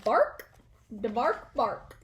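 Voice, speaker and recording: A woman's voice: fairly high pitch, big pitch swings, drawn-out words, fairly bright tone, heavily acted. A woman voicing a playful, friendly male pet dog in a children's storybook, eager and sociable. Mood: playful barking.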